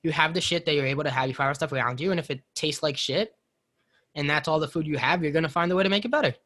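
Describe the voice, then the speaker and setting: A man's voice: on the high side, fast, pushed and bright. A young American man chatting casually, swearing freely and ranting. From United States. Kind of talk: casual chat.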